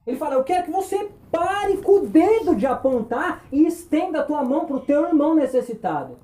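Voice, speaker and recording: A man preaching a sermon, impassioned, firing rhetorical questions and dropping between loud and hushed. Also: very high-pitched, sing-song, brisk, roomy sound. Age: 20s.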